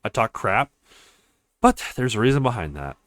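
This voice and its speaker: A man's voice: mid-range voice, big pitch swings, normal speed, a bit bright, no room sound, clean studio sound. Man reading as a bitter, blunt young woman fighter. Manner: reproachful, blunt.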